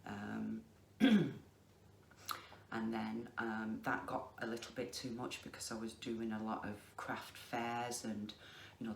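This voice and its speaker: A middle-aged woman with a Lancashire accent, chatting casually to camera in a slow, deliberate way with many ums. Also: extremely low pitch. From England. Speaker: craft vlogger. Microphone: facing the camera. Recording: echoey room with faint noise.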